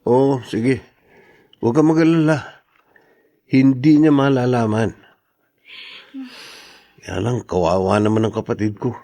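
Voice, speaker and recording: Earnest concerned brother, acted by a man, medium pitch. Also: a little slow, pauses often, dark tone, close to an everyday voice. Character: concerned, disapproving brother of a young wife. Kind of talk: Tagalog radio drama acting.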